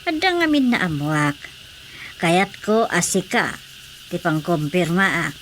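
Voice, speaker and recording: A woman's voice: on the low side, big pitch swings, slow, fairly bright tone, a little hiss or hum, exaggerated voice. A woman acting a concerned, prying married aunt in a radio drama, questioning and insistent with an Ilocano accent.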